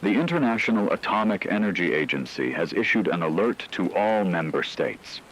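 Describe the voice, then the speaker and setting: A man playing a grave emergency radio announcer, reading scripted broadcast narration in a measured, formal, somber way. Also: low-pitched, sing-song, fairly bright tone, full character voice, low-quality recording. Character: grave male emergency radio announcer during global blackout.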